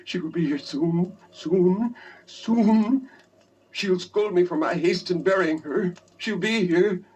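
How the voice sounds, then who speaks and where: A man voicing a terrified, unhinged gentleman in a vintage gothic horror reading, obsessively repeating his words in dread. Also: sits high, takes it slowly, highs cut off, voice pushed up. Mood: mounting hysteria. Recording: noisy old vinyl record, distant.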